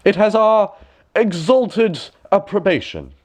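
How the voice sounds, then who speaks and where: A man's voice: high voice, big pitch swings, slow pace, a little mellow, voice pushed up, exaggerated voice. A man voicing a vain, pompous storybook emperor who exclaims anxiously and with exaggerated false delight.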